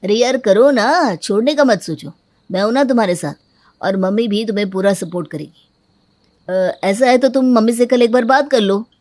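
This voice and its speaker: A woman's voice: a little high, normal speed, darkish tone, dry recording, lightly acted. A woman voicing a young husband with an emphatic, blunt manner in a cartoon story. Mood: supportive. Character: young husband, supportive but easily irritated.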